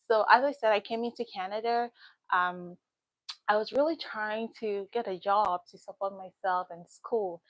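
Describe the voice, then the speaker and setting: A woman's voice: mid-range voice, fairly even intonation, normal speed, no echo. A young woman from Ghana, hosting her own live stream and casually chatting, reading out viewer comments as she goes. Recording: dull dry lo-fi sound.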